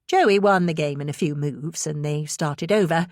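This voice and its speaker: A woman's voice: low voice, normal speed, darkish tone, no echo, clean studio sound. A woman reading scripted suspense fiction as an audiobook narrator.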